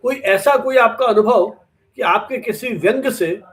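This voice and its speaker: Male host, notably high pitch. Conversational questioning, built up phrase by phrase. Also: unhurried, a bit bright. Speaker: interviewer, host.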